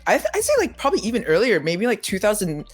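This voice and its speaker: A young-adult multimedia journalist answering panel questions quickly and animatedly, full of "like" and "you know", over a video call. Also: medium pitch, soft background music. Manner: fast, animated, many fillers like "like" and "you know".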